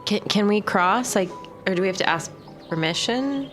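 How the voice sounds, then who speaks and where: A woman with a mid-pitched voice plays a tabletop role-playing game. Her in-character talk is casual and improvised among friends, with soft music in the background. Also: animated, normal speed.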